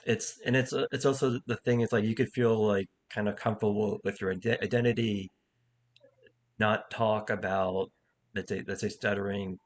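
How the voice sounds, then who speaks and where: Guy with a stutter, lowish voice, chatting openly, lots of restarts and repeats. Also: steady tone. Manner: candid, halting, thoughtful. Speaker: comic and improv teacher. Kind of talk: casual conversation.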